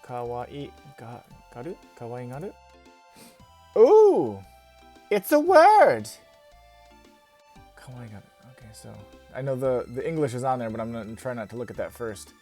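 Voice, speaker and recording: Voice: medium pitch, very animated, normal speed, pauses often, warm tone, soft background music. A channel host chatting casually through a game, upbeat and self-deprecating, full of fillers like "um" and "like". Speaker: channel host and Japanese learner. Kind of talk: casual let's-play narration, reading game text aloud.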